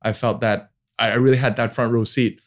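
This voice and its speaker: A man's voice: medium pitch, fairly quick. A man in his forties from Hong Kong, a venture capital founder, talking casually in an interview with many fillers and restarts. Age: 40s. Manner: relaxed, many fillers and restarts, laughs easily.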